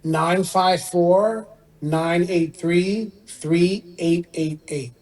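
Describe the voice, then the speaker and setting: A man's voice: high-pitched, fairly even intonation, very slow, a little mellow, rough recording. A middle-aged man born in Iran and raised in Southern California, English his second language, answering conversationally as a guest surgeon. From born in Iran, grew up in Southern California. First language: a language other than English.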